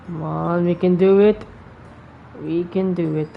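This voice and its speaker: A woman's voice: on the low side, steady tone, measured pace, gravelly, slight background noise. A woman giving casual gameplay commentary, thinking aloud and often repeating words and phrases. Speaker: gaming streamer. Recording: dull lo-fi mic over game music. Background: faint game music.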